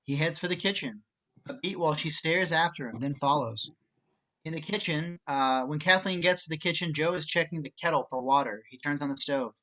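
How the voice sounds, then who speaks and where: A man's voice: fairly high pitch, brisk, pushed and bright. A man reading out stage directions as the narrator of an amateur script reading. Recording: dull, muffled home recording.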